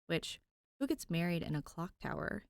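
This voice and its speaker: A woman's voice: on the low side, lively intonation, moderate pace, clean tone, dry recording, studio quality. A woman podfic reader narrating fan fiction expressively, with an informal, conversational touch.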